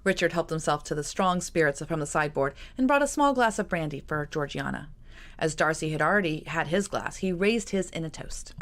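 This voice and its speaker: A woman author reading her own novel aloud in steady, measured narration. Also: deep voice. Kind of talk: audiobook narration.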